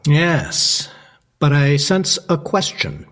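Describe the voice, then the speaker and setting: Brief: male actor as a condescending alienist, formal diction, higher voice, reverberant. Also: sing-song, slow, a bit bright, full character voice. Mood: clinical. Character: pompous male asylum doctor, cold and clinical. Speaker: asylum alienist.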